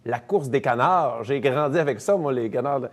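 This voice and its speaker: A man in his thirties with a Quebec French accent, a comedian and actor chatting as an interview guest, relaxed and self-deprecating as he tells stories. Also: mid-range voice, normal speed. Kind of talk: casual interview chat.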